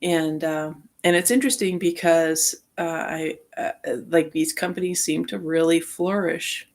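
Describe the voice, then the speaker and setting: A woman sharing rambling personal reflections as a vlogger, speaking haltingly and often trailing off mid-thought. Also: deep voice, steady tone.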